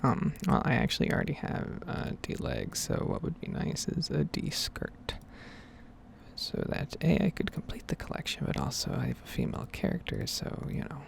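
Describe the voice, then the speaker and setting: A woman speaks in a breathy whisper and soft murmur, musing her way through ASMR game commentary. Her voice crackles with deep vocal fry and wanders freely in pitch. She rambles without hurry, with faint noise behind her. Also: wildly swooping pitch, moderate pace. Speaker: gaming ASMR video creator.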